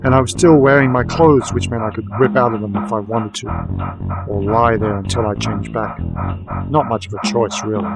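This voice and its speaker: A man's voice: lowish voice, fairly even intonation, moderate pace, loud music behind the voice, close to an everyday voice, low-quality recording. A man playing a weary, sardonic werewolf private investigator, narrating a noir radio drama in a dry, wry manner. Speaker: narrator and lead.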